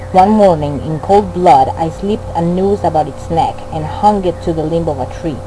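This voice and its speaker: A woman's voice: lowish voice, warm tone, slight background noise, close to an everyday voice, rough recording. A woman playing a remorseful narrator in a radio play, confessing in formal, solemn literary prose. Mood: bitter remorse.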